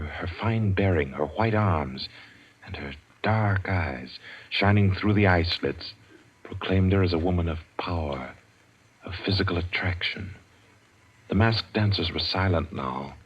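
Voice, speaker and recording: A man narrating a suspense radio drama in a measured, deliberate old-time storytelling style. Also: low voice, breathy edge. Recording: dull vintage radio recording with music.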